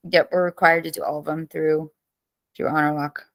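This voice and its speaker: A woman's voice: deep voice, little pitch movement, normal speed. A woman in her thirties teaching a live online class, chatty and greeting students by name, with her voice cracking as she loses it.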